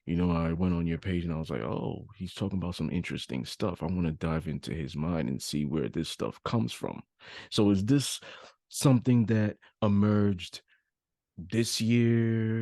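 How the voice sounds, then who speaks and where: A man's voice: low voice, dark tone, dry recording. A man hosting a podcast, interviewing in an informal, conversational way. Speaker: podcast host.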